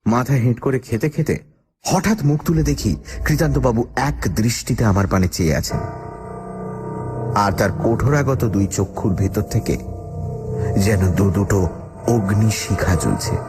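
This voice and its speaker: A man's voice: mid-range voice, expressive, unhurried, long pauses, music in the background, natural voice. A man playing the uneasy first-person narrator of a radio horror story, telling it in a dramatic, suspenseful way. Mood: ominous, suspenseful. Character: male first-person narrator, uneasy job-seeker with a dog.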